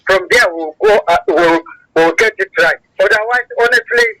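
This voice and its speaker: A man's voice: high-pitched, moderate pace, bright, forceful tone. A Nigerian-accented man phoning a radio talk show to complain passionately, asking emphatic rhetorical questions over a phone line. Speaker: phone-in caller. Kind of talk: impassioned public complaint.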